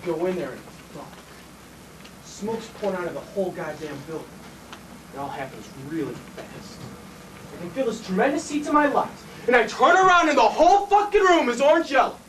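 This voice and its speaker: Intense, blunt city fireman, played by a man, swearing freely, big pitch swings, stage monologue picked up from a distance. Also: expressive, brisk, long pauses, background noise. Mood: tense, vivid. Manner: profane, blunt, colloquial storytelling. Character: intense, foul-mouthed city fireman and former cop, male.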